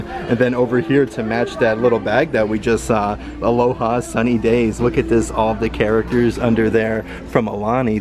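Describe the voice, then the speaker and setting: An American man giving an upbeat, enthusiastic, casual running narration as a shopping vlogger. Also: medium pitch. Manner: upbeat, enthusiastic showing-and-telling. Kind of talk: casual vlog narration of store items. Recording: music behind the voice. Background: background music.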